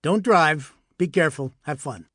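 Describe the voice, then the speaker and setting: Man playing an easygoing dad, higher-pitched voice. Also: lively intonation, moderate pace, fairly bright tone, dry recording, studio quality. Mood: reassuring, casual. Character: easygoing, reassuring middle-aged dad. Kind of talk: dialogue in an audiobook memoir.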